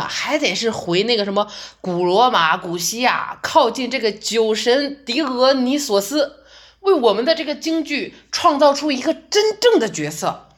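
A cautious, old-fashioned male theater director in an audio drama , played by a woman, who keeps cutting in to refuse ideas. The voice is a little high, with a very lively, bouncing pitch. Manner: flustered, interrupting, quick to refuse. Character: conservative middle-aged male theater director, dismissive. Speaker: director character.

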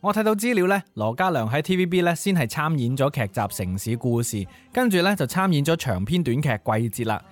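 A man's voice: a little high, big pitch swings, fairly quick, few pauses. A man speaking Cantonese co-hosts a radio music show, narrating pop history in an informative style.

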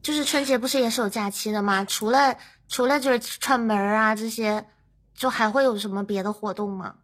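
A woman's voice: a little high, fairly even intonation, brisk. A woman hosting a podcast in a casual, conversational style. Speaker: podcast host.

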